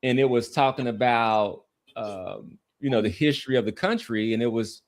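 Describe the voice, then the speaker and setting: A man in a casual podcast chat, talking in a reflective, halting and rambling way. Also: medium pitch. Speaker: sports podcast host. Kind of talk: casual sports and society chat.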